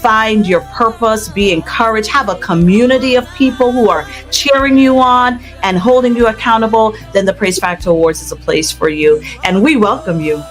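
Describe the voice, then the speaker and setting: A woman's voice: a little high, normal speed, few pauses, faint music behind. A woman gospel singer speaking as an interview guest in an earnest, testifying, conversational way, with an easy laugh.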